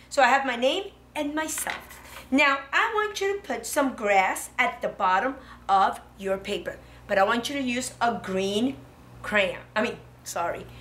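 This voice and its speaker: A woman preschool teacher with a bright, forward voice that sits fairly high. She guides small children through simple steps in short, encouraging phrases and often checks that they follow. There is clear background noise in the classroom. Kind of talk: simple step-by-step instruction for young children.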